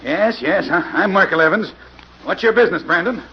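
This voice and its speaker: A man playing a grumpy, impatient old construction engineer with a raspy voice in an old-time radio drama. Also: high voice, lively intonation, a little fast, slight background noise. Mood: irritable, impatient. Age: older. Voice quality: raspy, creaky. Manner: brusque, irritable, stammering slightly. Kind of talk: 1940s radio acting. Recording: muffled old radio recording.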